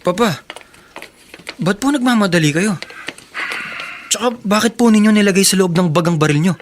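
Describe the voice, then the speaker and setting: An actor playing an earnest teenage son and teasing brother in a radio drama. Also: male voice, sits high, normal speed, faint music behind, lightly acted. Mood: worried. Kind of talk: Filipino radio drama acting.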